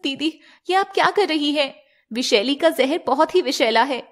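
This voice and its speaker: Casting: loyal younger serpent sister played by a woman, very earnest, pleading, high voice, cartoon story. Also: animated, normal speed, a bit bright. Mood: worried.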